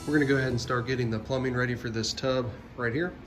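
A man's voice: mid-range voice, fairly even intonation, fast talker, music in the background. A man explaining plumbing work plainly and practically while working in an unfinished basement. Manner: plain, practical, matter-of-fact. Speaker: co-host doing the plumbing. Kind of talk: casual DIY explanation.

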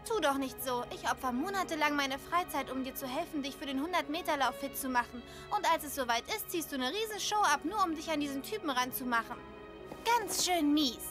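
This is a high, scolding teenage schoolgirl played by a woman from an anime audio drama. She teases and sounds indignant and sarcastic, with music clearly underneath. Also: lively intonation, a little fast. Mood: indignant teasing. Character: indignant, teasing teenage schoolgirl best friend.

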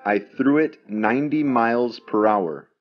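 A man teaching English, reading each drill sentence twice in a deliberate way. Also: mid-range voice, muffled recording, faint music behind. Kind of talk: slow, repeated drill sentences for learners.

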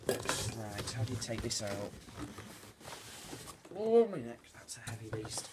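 A man's voice: on the low side, very animated, slow pace. A young British man chatting casually and unscripted to camera as he hosts an unboxing vlog in a living room. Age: young adult. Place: carpeted living room, indoors. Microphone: camera a short distance away. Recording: noisy home camera sound with clicks.

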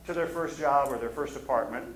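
A man's voice: medium pitch, a little fast, a little hiss or hum. A middle-aged man with a Midwestern American accent, preaching a casual, conversational sermon from a pulpit and asking the room for agreement. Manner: casual, plain-spoken, asks the room for agreement. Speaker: pastor. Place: church stage, behind a pulpit. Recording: echoey church sanctuary.

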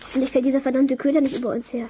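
A woman's voice: high-pitched, level tone, racing, warm tone, slight background noise, close to an everyday voice. A woman playing a sassy, grumbling young boy detective in a German children's radio play, cheeky and blunt.

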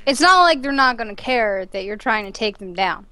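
A woman's voice: a little high, lively intonation, moderate pace, bright, forceful tone, smooth, dry recording. A woman playing a tabletop game over a video call on a headset, making dry, wry objections. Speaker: player.

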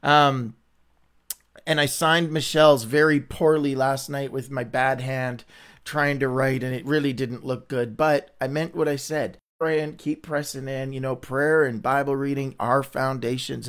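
A man's voice: medium pitch, fairly even intonation, a little slow, a bit bright. A man hosting a casual livestream Bible reading, chatty and upbeat, greeting viewers by name, with a runny, stuffy nose.

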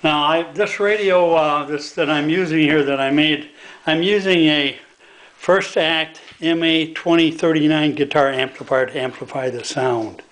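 An older American man with a slightly high voice walks through a homemade project. He is halting, folksy and plain-spoken, talking casually as he works indoors at a tabletop. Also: a bit bright, low-quality recording. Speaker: hobbyist demonstrator. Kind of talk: casual hands-on demonstration. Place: indoors at a home workbench.

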